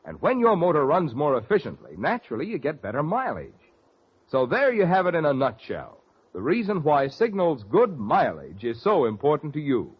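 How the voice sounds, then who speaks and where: A male radio announcer delivering a polished, emphatic commercial pitch in 1940s style. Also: huge pitch swings, normal speed, breathy edge. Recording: dull vintage radio recording.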